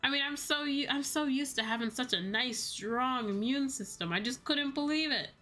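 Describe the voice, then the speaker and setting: An American woman livestreamer rambling casually to her viewers in an animated storytelling way, acting out bits of dialogue. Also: sits high, normal speed. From United States. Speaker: VTuber livestreamer. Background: background music.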